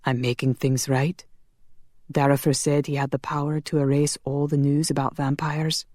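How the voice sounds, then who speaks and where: A woman's voice: low-pitched, flat delivery, normal speed, a little mellow, no echo, clean studio sound. A woman voicing a stoic, lovelorn and resolute man with old-fashioned wording in an audiobook, speaking in a subdued manner. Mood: resigned, determined.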